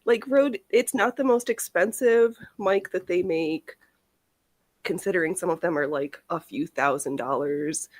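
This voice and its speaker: A woman's voice: on the low side, a little slow, no echo. A woman hosting a casual crafting livestream, chatting in a rambling, easily sidetracked way. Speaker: livestream host of a sewing and crafting show.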